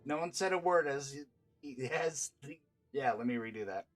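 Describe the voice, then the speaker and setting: A man's voice: medium pitch, animated, normal speed. A man narrating a written fantasy tabletop story aloud as the game's storyteller. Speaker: game storyteller reading aloud. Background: background music.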